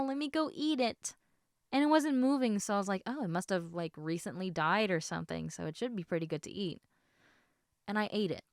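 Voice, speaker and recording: A woman's voice: lowish voice, clean tone, dry recording, clean studio sound. A woman voicing a sleepy, affectionate lamia, half snake, in a casual, teasing, rambling way for an intimate roleplay addressed to the listener.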